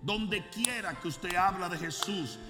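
A man preaching as a bishop, moving from calm storytelling to fervent, shouted prayer. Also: high-pitched, unhurried, big-room sound, over music. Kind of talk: sermon and prayer.

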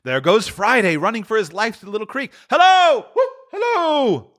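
A man voicing an excitable old man in childlike ecstasy, gushing and exclaiming in a storybook reading. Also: high voice, quick pace, pitched above the performer's own voice. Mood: giddy excitement. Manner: exclamatory, gushing, breathless delight.

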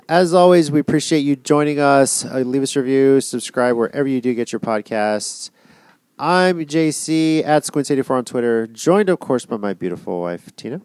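A man's voice: medium pitch, expressive, moderate pace, a little mellow, clean tone, dry recording. A man hosting a podcast in a casual, chatty style. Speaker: podcast host.

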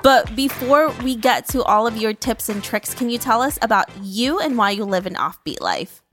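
A woman's voice: on the high side, expressive, moderate pace, fairly bright tone, soft background music. A woman hosting a podcast, speaking in an enthusiastic, bubbly way as she introduces her guest and asks questions. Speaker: podcast host. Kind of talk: upbeat podcast hosting and ad reads.

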